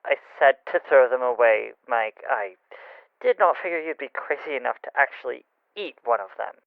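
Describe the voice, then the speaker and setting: A man's voice: mid-range voice, lively intonation, normal speed, gravelly, dry recording, narrow, tinny audio. An actor playing a nervous, exasperated young friend in an audio drama, stammering as he talks. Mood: exasperated, worried. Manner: stammering, jittery.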